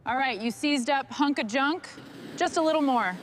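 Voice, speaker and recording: A woman with a fairly high voice talks her way through restoring heavy machinery. She speaks in short phrases, part muttering to herself and part instructing. Some machine noise and clicking sits behind her. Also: normal speed. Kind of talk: short work talk during a restoration.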